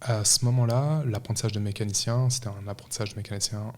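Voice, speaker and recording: A man's voice: lowish voice, steady tone, quick pace. A man hosting a podcast in a casual, conversational way. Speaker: podcast host. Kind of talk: casual podcast intro and interview.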